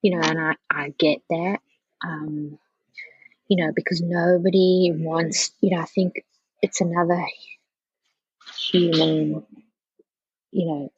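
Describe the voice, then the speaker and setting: A woman from South Africa with a low, slightly rough voice answers as a podcast guest. She talks casually and haltingly, restarting her thoughts as she goes. The sound is a little dull and roomy. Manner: halting, circling back with frequent "you know". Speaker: podcast guest, architecture professional. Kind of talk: casual conversational interview.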